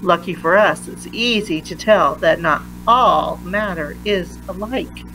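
Female read-aloud narrator for a kids' science book, unhurried and emphatic, faint noise behind. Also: on the low side. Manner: deliberate, emphatic phrasing for young listeners.

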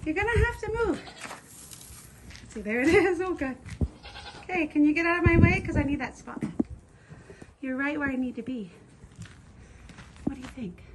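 A woman's voice: high-pitched, animated, normal speed, pauses often, background noise. A woman filming her farm animals outdoors on her phone, chatting casually and playfully talking to the animals. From Arizona, US. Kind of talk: casual phone vlog narration.

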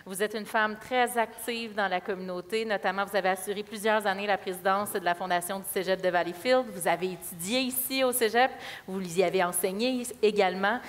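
A woman's voice: mid-range voice, steady tone, a little fast. A woman in her thirties with a Quebec French accent, moderating a panel discussion on stage with a headset mic. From Quebec, Canada. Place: large auditorium stage. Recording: reverberant hall.

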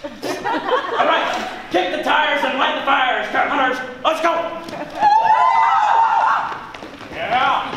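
A young man playing a boisterous, boastful store cart hunter in an amateur stage comedy, loud and theatrical. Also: extremely high pitch, expressive, slow pace, full character voice. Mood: rallying. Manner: loud, theatrical whooping and bragging. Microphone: distant camera. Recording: echoey stage recorded from far off, faint noise.

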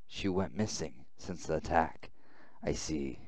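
A man reading a visual novel aloud as a weary, confused young male prisoner, subdued and halting. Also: a bass voice, darkish tone, no echo, pitched below the performer's own voice.

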